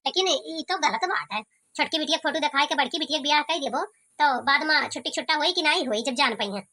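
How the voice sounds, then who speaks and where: A woman's voice: sits high, quick pace, sharp tone. A woman voicing a protective, anguished village mother in an Awadhi cartoon, with an Awadhi accent and a plaintive, scolding manner. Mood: worried. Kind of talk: cartoon comedy voice acting.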